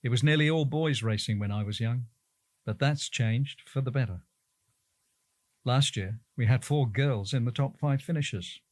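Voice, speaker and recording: A man's voice: medium pitch, moderate pace, a little mellow, no echo. A man reading out prepared interview answers.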